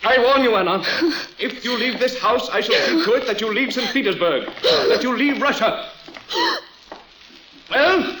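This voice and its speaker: A man's voice: extremely high pitch, lively intonation, unhurried, noisy background. An actor playing a cold, controlling aristocratic husband and father in an old-time radio drama, formal and menacing. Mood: threatening. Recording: old radio broadcast, faint music.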